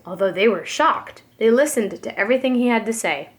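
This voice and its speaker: A woman's voice: on the high side, brisk. A woman preschool teacher reading a storybook aloud expressively for young children. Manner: expressive, addresses young listeners.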